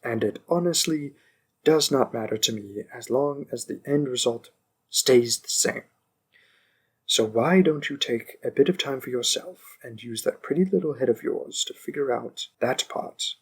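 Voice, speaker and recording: A man playing a smug, possessive young mafia boss in an audio roleplay, speaking deliberately with condescending politeness and calm menace. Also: mid-range voice, breathy edge, low-quality recording. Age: young adult. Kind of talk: scripted audio roleplay addressed to one listener.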